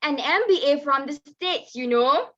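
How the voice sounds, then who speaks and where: A woman playing a haughty, boastful mother of high standing in a dramatised reading, with a smug, theatrical manner. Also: expressive, a little slow, fairly bright tone, muffled recording. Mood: indignant boasting. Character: haughty, boastful Datin bargaining over her daughter's bride price.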